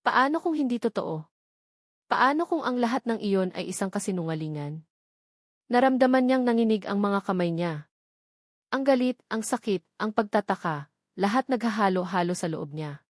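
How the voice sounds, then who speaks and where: A woman's voice: mid-range voice, a little mellow, no echo. Female storyteller, Filipino accent, mid-range voice, emotive scripted drama narration, suspenseful phrasing, very dry recording.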